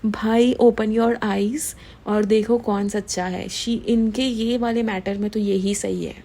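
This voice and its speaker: A young woman talks through influencer gossip in a relaxed, chatty way. She mixes Hindi and English as she goes, and her voice sounds mellow and warm. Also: mid-range voice, takes it slowly, creaky. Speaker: gossip commentary host. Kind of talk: casual Hindi-English commentary.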